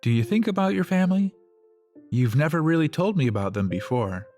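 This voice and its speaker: Man acting a laid-back guy with lively intonation. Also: on the high side, brisk, soft background music, lightly acted. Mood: sympathetic. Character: mellow, laid-back, sincere male survivor. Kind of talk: radio play acting.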